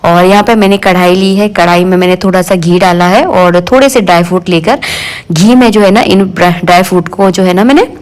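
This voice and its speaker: A middle-aged woman with a hoarse voice, chatting homely and casually in a home cooking and daily-life vlog from her kitchen. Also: on the low side, brisk. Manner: homely, chatty, fond of the filler "jo hai na". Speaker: home vlogger.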